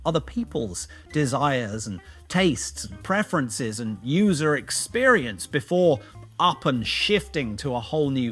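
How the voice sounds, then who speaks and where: A man's voice: a little high, fairly bright tone. A British man hosting a casual tech review vlog, chatty and self-deprecating, with jokey, slangy asides. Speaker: YouTube video host.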